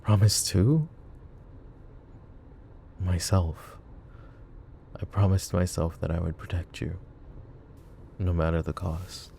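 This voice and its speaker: A man voicing a possessive, menacing mafia boss in a roleplay audio drama, speaking calmly and softly with occasional whispers, close to the microphone. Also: slow pace, creaky, pauses often, a little hiss or hum, full character voice. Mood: tender. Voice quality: low, soft, close-mic murmur with whispers. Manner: calm, controlled, coolly threatening and doting. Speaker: voice actor. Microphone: close ASMR microphone.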